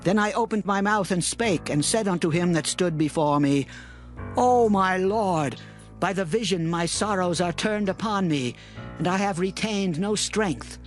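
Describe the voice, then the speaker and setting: Humble biblical prophet played by a man, high male voice, reverent and deliberate, faint music behind. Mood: weak, sorrowful. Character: humble, awestruck male prophet recounting his vision. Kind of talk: dramatized scripture narration.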